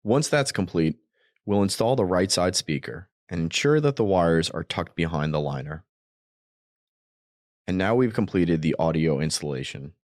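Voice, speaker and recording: A man's voice: sits low, lively intonation, normal speed, darkish tone. A man narrating a scripted product tutorial, explaining each step plainly as a demonstrator.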